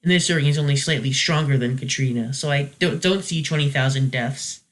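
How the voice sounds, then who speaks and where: A man's voice: medium pitch, a little fast. A man hosting a casual, unscripted review, talking in a hesitant, rambling way and often correcting himself. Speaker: reviewer hosting a weekly video series.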